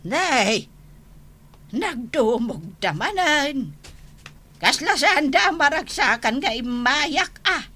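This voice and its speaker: A woman's voice: high voice, sing-song, slow pace, sharp tone, exaggerated voice. A woman with a Filipino accent playing a fussy, dismayed elderly grandmother in a radio drama, drawing out her exclamations. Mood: puzzled, disappointed. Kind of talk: Ilocano radio drama acting.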